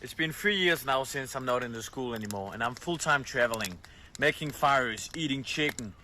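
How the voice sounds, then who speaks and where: A man's voice: mid-range voice, expressive, moderate pace, sharp tone, a little hiss or hum. A man from Denmark, speaking in an earnest vlog testimonial, plain-spoken with small hesitations. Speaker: outdoor traveler in a clip.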